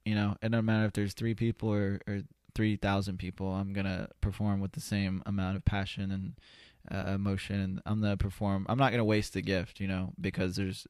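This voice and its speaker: A man's voice: low voice, little pitch movement, brisk, hardly any pauses, a little mellow, clear, resonant tone, no echo, clean studio sound. A man answering as a podcast guest in a casual, rambling, hesitant way with lots of "you know". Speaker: podcast guest, spoken word poet. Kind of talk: casual conversational interview answers. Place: indoor studio.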